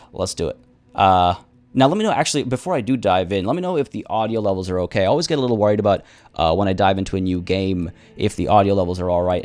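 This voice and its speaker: A man live-streaming a game, chatting casually and rambling with many restarts, his voice a little rough from the morning. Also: fairly low pitch, a little mellow.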